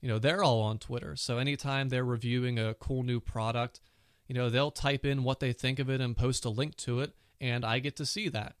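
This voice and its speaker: Chatty man with a cold, smooth voice. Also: mid-range voice, steady tone, a little fast, dry recording, studio quality. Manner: chatty, many "you know" fillers. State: recovering from a cold, sore throat. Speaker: podcast host. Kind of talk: casual solo tech podcast.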